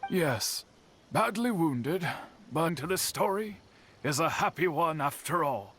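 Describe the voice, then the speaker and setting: A man's voice: a little high, expressive, unhurried, loud music behind the voice. An actor playing a kindly, knowledgeable swordsmith in an anime-style video game, earnest and theatrical as he explains. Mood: reassuring.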